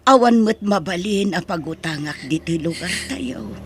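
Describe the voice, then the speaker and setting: A woman's voice: fairly low pitch, lively intonation, moderate pace, gravelly, slight background noise. A woman playing a poor, humble middle-aged mother in an audio drama, pleading and tender. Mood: sorrowful, tender.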